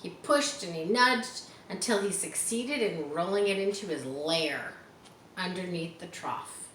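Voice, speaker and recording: A woman's voice: on the low side, lively intonation, measured pace, fairly bright tone. A woman reading a storybook aloud to children expressively, with explanatory asides. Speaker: storybook reader. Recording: echoey room, faint background noise.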